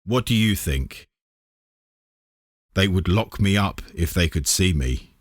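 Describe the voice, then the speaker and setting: A bold storybook smuggler captain, read by a man, sure of himself and old-fashioned. His voice is very low, in a dry close recording. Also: animated, slow, no room sound, exaggerated voice. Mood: bold, amused.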